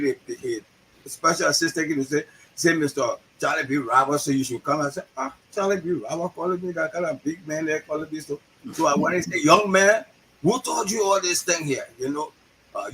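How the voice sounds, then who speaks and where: Older liberian guy, kinda high bright voice, tells stories, repeats himself a lot, bit of hiss behind. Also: a little fast, rough recording. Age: 60s. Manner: animated, repeats words, acts out quoted voices. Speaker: guest, playwright. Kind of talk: storytelling interview talk.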